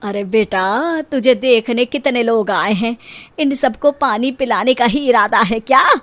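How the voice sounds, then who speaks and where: A woman's voice: fairly high pitch, measured pace, a bit bright. A woman voicing a proud, doting middle-aged mother-in-law in a cartoon, chatty, coaxing and boastful.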